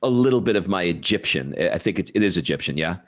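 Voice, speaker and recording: A man with a fairly low voice hosts an interview show. He talks informally and conversationally, in loose phrasing peppered with small filler phrases. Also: lively intonation, fast talker. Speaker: podcast host.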